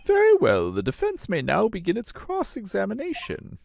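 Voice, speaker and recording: A man's voice: high-pitched, huge pitch swings, normal speed, vocal fry, a little mellow, a little hiss or hum, pitched above the performer's own voice, full character voice. A man voicing a pompous, easily startled courtroom judge from a video game, formal and theatrical. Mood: solemn. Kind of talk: amateur voice acting of game dialogue.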